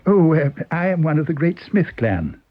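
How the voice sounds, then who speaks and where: A man acts out a mysterious stranger in an audiobook story, chatty and slyly sinister. The voice swoops animatedly and sits a little high, with a husky edge and insinuating pauses. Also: big pitch swings, dull audio. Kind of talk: dramatised audiobook reading.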